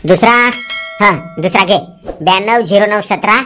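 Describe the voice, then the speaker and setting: A woman's voice: mid-range voice, moderate pace, lightly acted. A woman voicing a cheeky, playful male village neighbour in a comedy cartoon, with a teasing manner. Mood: playful, helpful. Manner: teasing, rhyming. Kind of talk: Marathi comedy cartoon dialogue. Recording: music behind the voice. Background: music.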